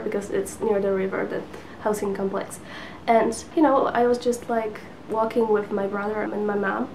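A young woman whose first language is Polish talks to the camera from a living-room couch, rambling easily with lots of "like" and small giggles. Her voice sits a little high, and a faint hiss runs under the home recording. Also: dark tone, poor audio. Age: 20s. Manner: chatty, giggly, lots of fillers. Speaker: book vlogger. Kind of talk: casual chat to camera. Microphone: camera in front at sitting distance.

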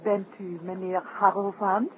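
A self-assured, coquettish circus woman played by an actress in a vintage radio play. Her mid-pitched voice is languid and teasing. The recording is dull and faintly noisy. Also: level tone, unhurried, close to an everyday voice. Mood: coy. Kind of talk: old Dutch radio drama acting.

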